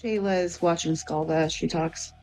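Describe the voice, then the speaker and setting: A woman's voice: deep voice, fairly even intonation, moderate pace, dark tone, faint music behind, poor audio. A woman playing a tabletop game, casually describing how her character feels and moves.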